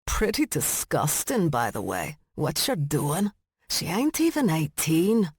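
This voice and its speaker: A woman's voice: low voice, wildly swooping pitch, takes it slowly, husky, dry recording, studio quality, exaggerated voice. A woman voicing a gloating, threatening Scottish kidnapper in an audiobook story. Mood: taunting contempt. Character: menacing Scottish woman, a gloating kidnapper tormenting her uncle.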